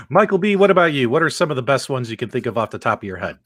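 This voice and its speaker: A man's voice: mid-range voice, big pitch swings, quick pace, fairly bright tone, no room sound, clean studio sound. An enthusiastic man hosting a live video game talk show, repeating himself for emphasis. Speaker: show host.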